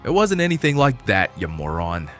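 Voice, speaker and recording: A man plays a jaded, blunt prisoner in an audio drama. His lowish voice moves in a lively way, streetwise and dismissive, with soft music behind. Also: moderate pace. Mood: irritated. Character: jaded, gruff-talking male fellow prisoner, reassuring but dismissive.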